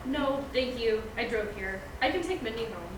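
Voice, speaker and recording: Heard in an echoey room with clear background noise, a woman acts out a teenage girl best friend. Her voice is fairly high and a little distant, and she talks casually, frankly and supportively, as in a table read of a teen script. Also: expressive, moderate pace, lightly acted. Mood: encouraging. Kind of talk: screenplay table read acting.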